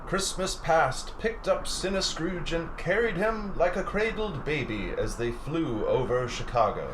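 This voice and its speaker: A man's voice: a little high, very animated, unhurried, very noisy. A man narrating a radio play like a storybook, with dramatic, mock-literary delivery. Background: music.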